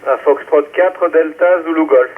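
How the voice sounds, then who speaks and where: A man's voice: fairly high pitch, measured pace. A man speaking as an amateur radio operator, checking in over a radio relay. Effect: ham radio transmission. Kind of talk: radio check-in with call signs. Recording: narrow-band radio relay with faint noise.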